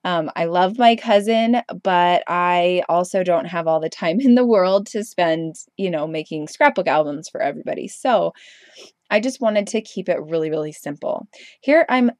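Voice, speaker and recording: A woman's voice: fairly low pitch, moderate pace, a little mellow, dry recording, clean studio sound. A young woman with a stuffy, congested voice from a cold, casually narrating a craft tutorial over her footage with rambling, chatty asides and lots of ums. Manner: chatty, rambling, frequent ums and self-corrections. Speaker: craft video host.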